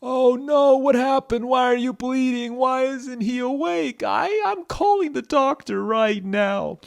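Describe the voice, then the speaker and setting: Raised pitch, old storybook grandpa chicken, fussy, protective, insistent, done by a man. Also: a little slow, gravelly, full character voice. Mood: alarmed.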